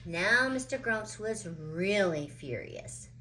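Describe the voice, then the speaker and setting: A woman reading a children's picture book aloud with deliberate, dramatic phrasing. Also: medium pitch, lively intonation, pushed and bright, slight background noise. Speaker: children's storybook reader.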